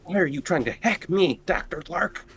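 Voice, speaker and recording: A man's voice: on the high side, expressive, normal speed, fairly bright tone, husky, no room sound. A man playing a tabletop roleplaying game, bantering casually with the other players.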